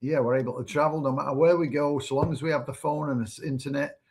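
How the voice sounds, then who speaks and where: A man co-hosting a casual livestream chat in a relaxed, conversational way, following up on his partner's points. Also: medium pitch, quick pace. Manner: laid-back, conversational, adds to her points. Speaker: co-host.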